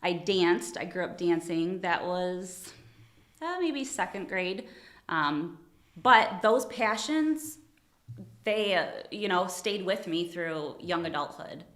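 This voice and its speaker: A woman's voice: on the low side, takes it slowly, fairly bright tone, roomy sound. A woman from Ohio working as an attorney, chatting casually in an interview, friendly and modest, laughing often. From Toledo, Ohio. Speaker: attorney, guest.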